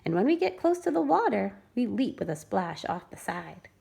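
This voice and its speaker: A woman preschool teacher reading a rhyming picture book aloud to children with expressive storytelling. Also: medium pitch, moderate pace, gravelly, breathy edge. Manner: expressive, sing-song storytelling.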